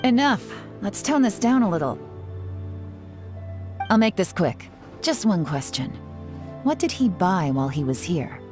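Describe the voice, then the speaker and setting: An actress playing a composed, shrewd female investigator in a video game, cool and smooth-talking. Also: medium pitch, very animated, a little slow, long pauses, a little mellow, faint music behind. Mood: commanding, probing. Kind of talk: video game character acting.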